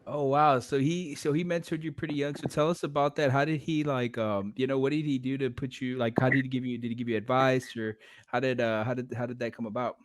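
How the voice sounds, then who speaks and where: Smooth, casual man hosting a podcast. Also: mid-range voice, steady tone, fairly quick, dry recording. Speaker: podcast host. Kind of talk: casual interview chat.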